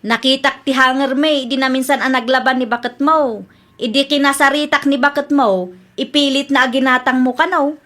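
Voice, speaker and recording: A radio-comedy wife, nagging and suspicious, acted by a woman in a very high, piercingly bright voice. She scolds and insists, pressing every accusation. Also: normal speed. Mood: accusing. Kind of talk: Ilocano radio comedy drama acting.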